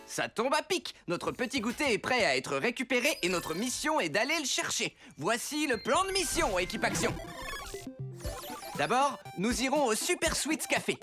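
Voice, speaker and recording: A lively cartoon superhero team leader. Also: female voice, on the high side, moderate pace. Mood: upbeat, briefing. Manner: clear instructions, supportive. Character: upbeat, encouraging young superhero team leader. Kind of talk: cartoon dub acting. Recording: music behind the voice.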